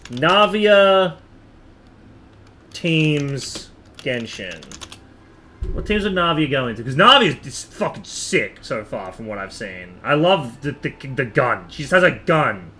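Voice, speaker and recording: Lively, bright-voiced man gaming on stream. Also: mid-range voice, slow, a little hiss or hum. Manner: rambling, thinking aloud, swears casually. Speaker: game streamer. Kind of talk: casual live stream chat while gaming.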